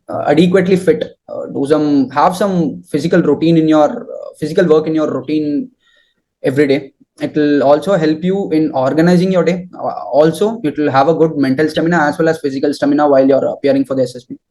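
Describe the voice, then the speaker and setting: A young man answering interview questions and giving advice in halting, deliberate phrases. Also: on the high side, fairly even intonation, dark tone, low-quality recording. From southern India.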